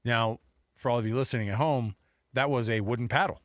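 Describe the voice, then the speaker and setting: A man's voice: mid-range voice, a little fast, no echo, clean studio sound. A middle-aged man hosting sports radio, chatting casually and telling stories in a jokey way. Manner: jokey, animated storytelling. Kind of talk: casual chat. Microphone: close studio microphone.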